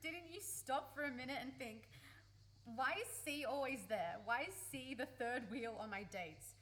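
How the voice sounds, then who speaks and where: A woman's voice: sits high, normal speed, fairly bright tone. A woman acting in a radio play as a blunt, emotional young woman, hurt and in love with her best friend. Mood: hurt, sardonic. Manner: emotional, pleading, sweary, blunt. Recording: faint background noise, live recording.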